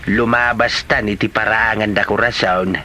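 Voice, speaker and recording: A man's voice: on the low side, fairly even intonation, moderate pace, bright, forceful tone, a little hiss or hum. A man acting as a jovial, exclaiming old father in an Ilocano comedy drama. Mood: amused. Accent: Filipino, Ilocano. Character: jolly, giggly old father joking with his daughter.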